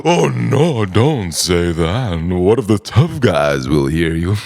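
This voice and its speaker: A man performs introspective poetry in a close, dry recording. His delivery is dramatic and deliberate, with a widely moving pitch. He phrases his lines as a spoken-word monologue, leaving space between them. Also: sing-song, vocal fry, darkish tone, no room sound. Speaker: poet.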